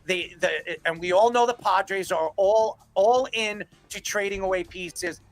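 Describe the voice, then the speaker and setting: A man's voice: high voice, unhurried, faint music behind. A man on a sports talk panel, arguing casually in an insistent, emphatic way and repeating his points.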